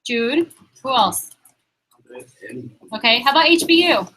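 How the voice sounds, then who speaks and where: A young woman leading a sales training meeting, upbeat and quizzing the room with quick questions. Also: high-pitched, lively intonation, sharp tone, low-quality recording.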